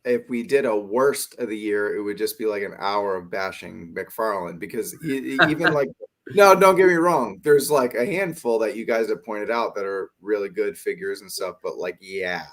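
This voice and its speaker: A man's voice: on the low side, moderate pace, fairly bright tone. A man hosting a casual talk show, teasing his co-hosts and often trailing off mid-thought. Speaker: podcast host. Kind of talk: casual chat.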